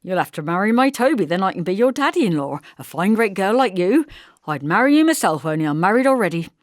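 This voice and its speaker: Hearty, teasing village bell-ringer man played by a female narrator for an audiobook. Loud, bantering, dry sound. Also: medium pitch, sing-song, fast, dry recording, lightly acted. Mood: jovial, teasing.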